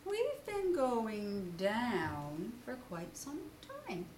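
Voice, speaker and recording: A woman voicing a gentle, simple, thoughtful male bear from a storybook, in a soft, musing way. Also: fairly high pitch, wildly swooping pitch, drawn-out words, heavily acted. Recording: roomy, distant mic, faint background noise.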